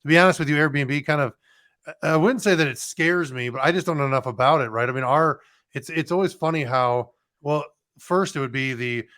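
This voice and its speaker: A man's voice: on the high side, fairly quick, a bit bright, no room sound. Rambling male podcast host, dry sound. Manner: rambling, many restarts. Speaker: podcast host, former firefighter. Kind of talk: casual podcast interview.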